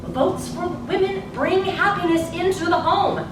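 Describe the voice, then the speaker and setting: A woman playing a poised, encouraging suffragist politician in a live stage play, recorded in an echoey room. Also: a little slow. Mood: rallying. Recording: echoey noisy hall, distant mic, low rumble.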